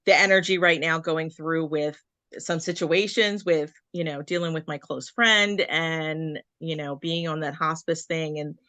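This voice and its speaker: A woman guest speaks earnestly in a casual video-call interview. Her voice sits lower than most, yet has a bright, forward tone. The sound is dry and close, and she pauses and restarts often. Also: fairly even intonation, no room sound. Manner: halting, many fillers and restarts, earnest. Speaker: guest, occupational therapist and Reiki practitioner.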